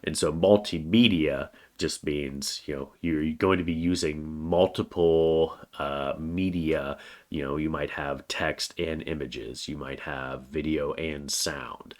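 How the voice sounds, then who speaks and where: A man with a cold, a college writing instructor giving a recorded weekly course overview in a plain, steady way with frequent "uh" fillers. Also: a bass voice, takes it slowly. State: has a cold, slightly congested.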